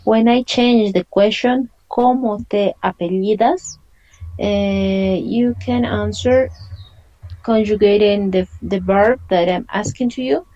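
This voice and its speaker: A woman's voice: medium pitch, slow, warm tone, highs cut off. A woman, teaching an online language lesson and patiently explaining and repeating questions. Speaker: Spanish teacher.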